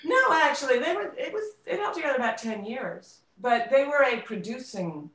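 A middle-aged woman in a conversational interview, recalling things haltingly and repeating words as she thinks. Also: sits high, lively intonation, a bit bright. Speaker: guest, theater director and actress. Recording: roomy, echoing voice pickup.

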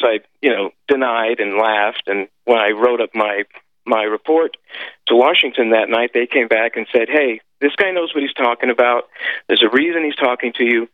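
A man whose first language is French, a guest telling his story in a radio interview, pausing often with filler sounds and restarts. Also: mid-range voice, no room sound. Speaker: guest, former intelligence officer. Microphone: phone call. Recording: tinny phone line, dry.

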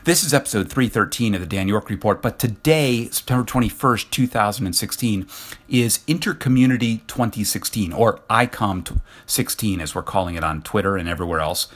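American male, lowish voice, podcast host, earnest and emphatic, informal announcement delivery. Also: fairly quick, fairly bright tone.

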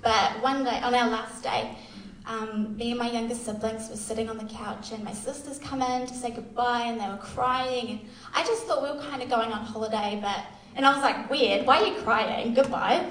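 A woman's voice: a little high, steady tone, normal speed, a bit bright, slight background noise. Woman in an echoey hall. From Australia. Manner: candid, self-deprecating, many fillers. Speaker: youth worker sharing testimony. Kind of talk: church testimony talk. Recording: echoey hall, voice far from mic.

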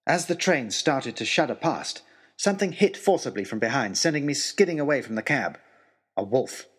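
A man narrating a first-person adventure story in an expressive, theatrical way. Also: mid-range voice, normal speed, breathy edge.

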